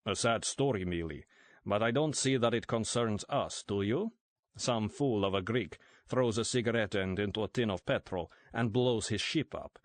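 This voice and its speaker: A man's voice: on the low side, normal speed, no room sound, natural voice. A man voicing an amiable but patronizing male Soviet general in a storybook reading.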